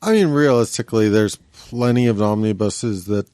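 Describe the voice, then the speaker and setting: A man's voice: on the low side, normal speed, mellow, no room sound, clean studio sound. A man co-hosting a podcast in casual conversation. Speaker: podcast co-host. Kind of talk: casual chat.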